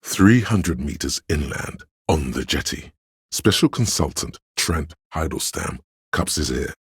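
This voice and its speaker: A man with a gravelly, husky voice, narrating a video game in a measured, solemn, literary and dramatic style. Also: very deep voice, lively intonation, no echo, clean studio sound. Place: close, dry studio.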